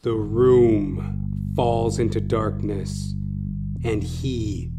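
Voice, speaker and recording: A man narrating a scripted horror story in a deliberate, dramatic, suspenseful manner. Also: lowish voice, steady tone. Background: music.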